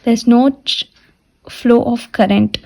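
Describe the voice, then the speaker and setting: Woman lecturer, fairly high voice but soft-edged and mellow, explaining technical material step by step with deliberate repetition. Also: steady tone, gravelly. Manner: repeats and restates points deliberately. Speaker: physiotherapy lecturer.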